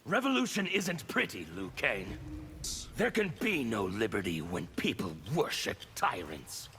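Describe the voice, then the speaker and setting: A man voicing a fanatical, defiant revolutionary warrior in a video game, with a gravelly, menacing, declamatory delivery. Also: fairly low pitch, wildly swooping pitch, a little slow, a bit bright, slight background noise, heavily acted. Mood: fervent, defiant. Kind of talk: video game character dialogue.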